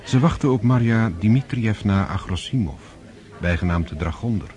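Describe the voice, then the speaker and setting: An actor narrating a radio drama as a measured storyteller of an aristocratic saga. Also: male voice, on the low side, lively intonation, darkish tone, natural voice.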